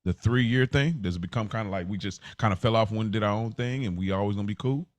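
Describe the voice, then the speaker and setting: Low American male voice, halting and informal. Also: no room sound. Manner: halting, informal, thinking aloud. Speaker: podcast co-host. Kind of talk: casual chat, probing questions.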